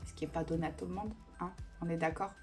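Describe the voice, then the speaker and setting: Casual beauty vlogger, woman in her thirties, lowish voice, chatty, rambles with fillers, faint music behind. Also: fairly even intonation, quick pace, dark tone. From Italian family roots. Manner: chatty and digressive, with many fillers. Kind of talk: casual Q&A chat.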